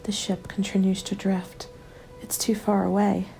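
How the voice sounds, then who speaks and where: A woman narrating a scripted first-person ASMR story in a soft, measured, often whispery delivery. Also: mid-range voice, level tone, gravelly, rough recording. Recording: background music under the voice. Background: music.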